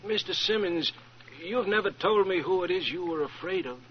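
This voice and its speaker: An actor playing a reserved, deferential male caretaker, speaking politely and with measured care in 1940s radio style. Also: high-pitched, animated. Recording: muffled, noisy vintage radio recording.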